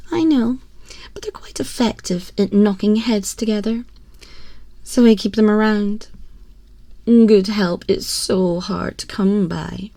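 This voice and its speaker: Very dry, intimate binaural sound carries a haughty empress, acted by a woman in an ASMR roleplay. She speaks slowly and at a medium pitch, with formal, theatrical diction. Her manner is imperious and condescending, cruelly mocking whoever is before her. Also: vocal fry, a little mellow, no room sound. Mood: condescending, composed. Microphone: close binaural microphone, dry.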